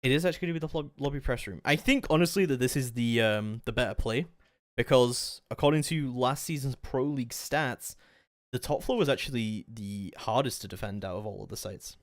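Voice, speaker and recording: A man's voice: medium pitch, brisk, dry recording, studio quality. A man giving live play-by-play esports commentary in a flowing, detailed running description.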